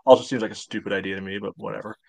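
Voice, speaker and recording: Lowish-voiced man commenting on sports. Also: steady tone, racing, creaky. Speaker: podcast co-host.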